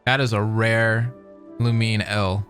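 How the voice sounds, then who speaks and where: A man's voice: on the low side, little pitch movement, takes it slowly, fairly bright tone, soft background music. A man giving casual, joking reaction commentary over a story game. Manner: joking, sarcastic asides. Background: game audio.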